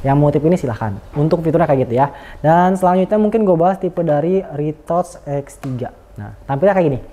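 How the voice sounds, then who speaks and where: A man's voice: a little high, animated, a little fast, mellow. A man, giving an informal, slangy, unscripted product review in a chatty way.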